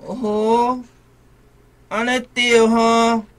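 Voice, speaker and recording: High-pitched, arrogant bullying policeman. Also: level tone, a bit bright, full character voice. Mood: menacing. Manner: haughty, drawn-out, barking commands. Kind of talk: radio drama acting.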